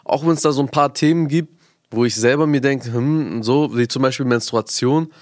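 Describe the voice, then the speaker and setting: A young man talks in a casual, conversational way with plenty of youth slang. His voice sits at a middle pitch, and he keeps checking that his listener follows. The sound is dry, with no room echo. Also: fairly quick, a little mellow. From Afghanistan. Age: young adult. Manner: informal youth slang, frequent check-in questions.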